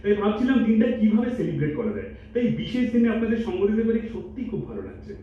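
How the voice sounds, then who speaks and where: A man playing an eager, self-satisfied young man in an audio drama, chatting briskly with some English words mixed in. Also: sits high, sing-song. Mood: polite, pleased. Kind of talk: Bengali stage audio drama. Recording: muffled, echoey hall from far off, noisy.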